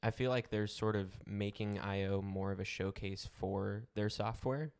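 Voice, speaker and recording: This is a man co-hosting a tech podcast, and his voice sits on the low side. He explains the news casually, trails off, and hedges with "like" and "I guess.". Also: steady tone, moderate pace, vocal fry, darkish tone, clean tone. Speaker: podcast co-host.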